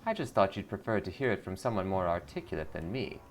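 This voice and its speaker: A man's voice: low-pitched, lively intonation, fast, slight background noise. A man voicing a blissful, eager cult greeter in a video game, sweetly pious and salesy. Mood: serene, eager.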